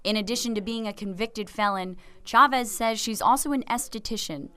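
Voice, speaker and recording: A woman's voice: on the high side, brisk, sharp tone, clear, resonant tone. American woman reporting scripted TV news.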